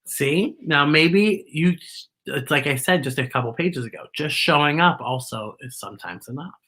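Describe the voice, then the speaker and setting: Expressive woman reading aloud to children. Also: low voice, moderate pace. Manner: expressive, explaining and questioning as if to young children. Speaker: children's storytime host. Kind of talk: picture book read-aloud with chatty asides.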